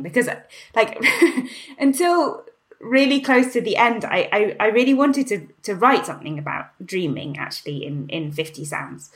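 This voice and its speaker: A woman speaking as an interview guest, thoughtful and searching. She circles her ideas with "you know" and small restarts, now and then breaking into a soft laugh. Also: mid-range voice. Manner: laughs lightly while talking. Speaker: translator and writer, interview guest. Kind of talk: reflective conversational interview.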